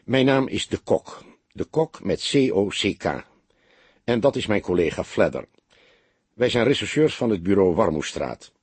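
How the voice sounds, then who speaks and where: A man voicing a stout middle-aged police detective in an audiobook, calm, wry and courteous, with a laconic way of talking. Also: lowish voice, moderate pace, slightly rough, no room sound.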